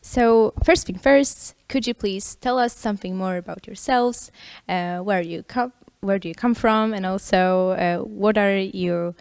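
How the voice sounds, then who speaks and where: A woman's voice: mid-range voice, measured pace, gravelly, darkish tone, clean tone, no room sound. Hesitant woman interviewer, dry sound. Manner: hesitant, many fillers and restarts. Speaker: interviewer host. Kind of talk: studio interview.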